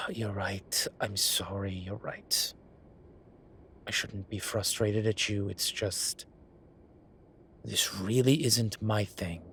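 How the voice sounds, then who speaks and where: A man playing an ancient, bookish incubus demon professor in an audio roleplay, dry and exasperated, with halting, sighing delivery. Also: fairly low pitch, fairly even intonation, pushed and bright, slight background noise, full character voice. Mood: apologetic, weary. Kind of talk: audio roleplay acting, intimate one-sided dialogue.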